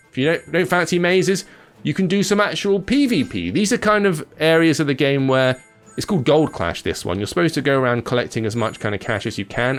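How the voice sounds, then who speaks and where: A man presenting games with casual gameplay commentary in a chatty, informal, slangy manner. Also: medium pitch. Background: music.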